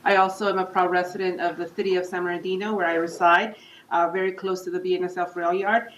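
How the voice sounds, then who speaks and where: A woman's voice: on the low side, flat delivery, quick pace, fairly bright tone, slight background noise. A woman community organizer giving an urgent, earnest public comment at a meeting. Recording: roomy, distant, dull hall mic.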